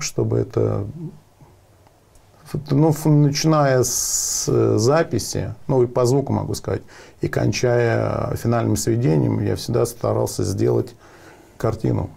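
A man's voice: mid-range voice, creaky, dark tone, breathy edge. A middle-aged man answering in an interview as a sound engineer, earnestly repeating and rephrasing his points. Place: sound studio, indoors. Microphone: lapel.

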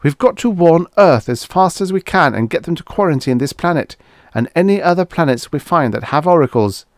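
A man's voice: mid-range voice, big pitch swings, moderate pace, no echo, studio quality. A man playing an anxious space explorer in a science fiction audiobook, earnest and insistent as he pleads with urgency. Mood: desperate, alarmed.

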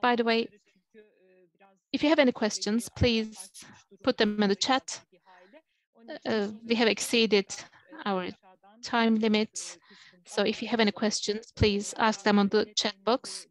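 Woman in her thirties moderating a webinar, fairly high voice, brisk, from home on a video call. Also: fairly even intonation, poor audio. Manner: brisk, apologetic about time. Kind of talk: moderating a panel.

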